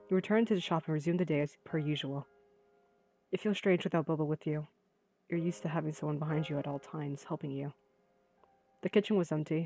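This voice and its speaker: A woman's voice: sits low, darkish tone. A woman reading visual novel narration aloud during a voiced playthrough. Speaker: gaming video creator reading aloud.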